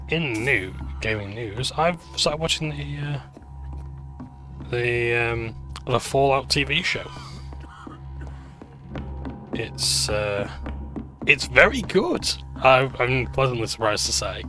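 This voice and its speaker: A man's voice: medium pitch, animated, slow, pauses often, sharp tone, soft background music. A man commentating on a video game in a laid-back, joking way, sounding throaty and dehydrated. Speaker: gaming video host. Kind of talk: let's play commentary.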